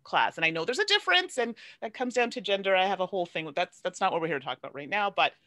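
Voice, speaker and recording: A young woman hosting an online panel over a video call, chatty and quick to laugh. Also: mid-range voice, sing-song, fairly bright tone, dry recording, clean studio sound. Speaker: panel host, content creator.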